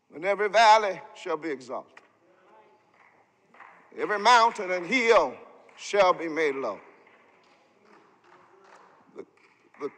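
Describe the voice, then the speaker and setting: A man's voice: high voice, bright, forceful tone. A man preaching a sermon in rhythmic, emphatic oratory, building with repeated phrases. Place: large synagogue sanctuary. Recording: long hall reverb from a sanctuary.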